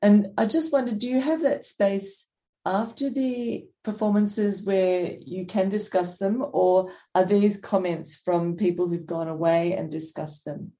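A woman's voice: mid-range voice, steady tone, unhurried, mellow. A woman interviewer asking hesitant questions over a video call, with fillers.

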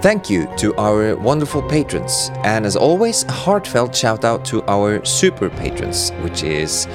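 Man, animated intonation, informal podcast outro, chatty, slightly unsure, music behind. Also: fairly low pitch, measured pace. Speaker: composer standing in as credits reader.

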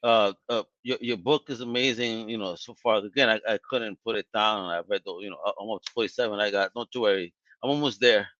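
A man hosting a podcast, chatting casually and excitedly with lots of stammered restarts and slang. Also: lowish voice, fast, hardly any pauses, dry recording, dull audio. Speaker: podcast host. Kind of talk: casual interview wrap-up.

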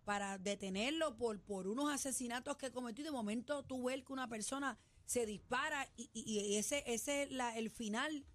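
A woman's voice: a little high, moderate pace, few pauses. A woman co-hosting a casual radio chat, earnest and emphatic, often repeating words to keep the floor. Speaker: radio co-host.

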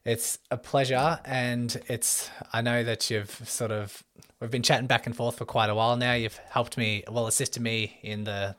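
A man's voice: medium pitch, normal speed, no echo. Guy hosting a podcast, chill and rambly, dry close mic sound. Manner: relaxed, loosely phrased with fillers. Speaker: podcast host. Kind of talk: podcast interview.

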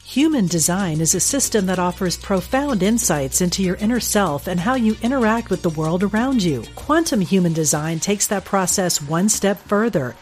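A woman's voice: mid-range voice, expressive, normal speed, few pauses, a little mellow, soft background music. A woman reading scripted advertisement narration as a promo announcer.

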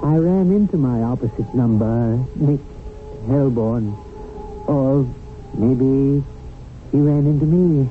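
A man's voice: medium pitch, slow, pauses often, lightly acted. A man playing an earnest, anxious agent of good who narrates his own tale in a radio drama. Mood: worried narration. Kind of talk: radio drama acting and first-person narration. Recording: phone-like old radio recording, music underneath.

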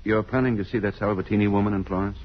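Husky, cautious lawyer played by an actor. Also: male voice, lowish voice, steady tone, racing, close to an everyday voice. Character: reserved, cautious male lawyer and confidant. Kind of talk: 1950s radio drama acting. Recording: dull old radio recording.

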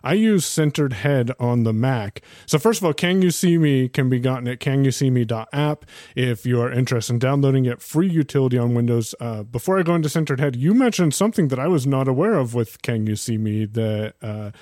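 An easygoing man sitting in as host of a technology podcast, joking at his own expense. His voice is mid-pitched and recorded close and dry. He talks casually, with a few restarts. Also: animated, a little fast, few pauses, darkish tone, no room sound. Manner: easygoing, self-deprecating, some restarts. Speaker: guest host. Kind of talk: casual tech chat.

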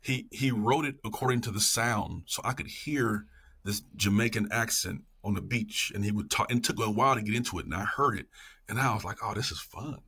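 Emphatic low-voiced man with a husky edge. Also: brisk, sharp tone. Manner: emphatic, repeats phrases for effect. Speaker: podcast guest, educator. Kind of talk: casual, passionate interview talk.

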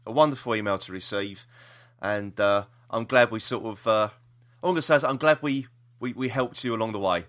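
A man's voice: fairly low pitch, a little fast, a bit bright. A British man hosting a podcast in casual chat, hesitant and rambling, often repeating himself. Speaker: podcast host.

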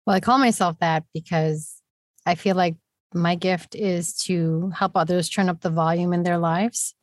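A woman from New York and Puerto Rico, a podcast guest talking in an animated, casual way and laughing often. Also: fairly low pitch, a little slow, no echo, studio quality.

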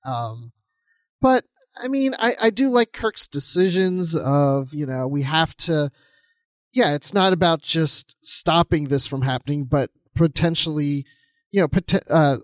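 A man's voice: fairly high pitch, animated, unhurried, no echo. A man co-hosting a podcast, casually discussing a book and thinking aloud as he talks. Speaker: podcast co-host.

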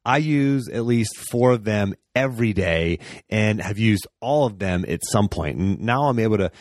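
A man in his thirties hosts a podcast with a somewhat low voice. He delivers upbeat promos and an enthusiastic intro. The sound is dry and close, with no room echo. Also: lively intonation, moderate pace, a little mellow. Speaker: podcast host.

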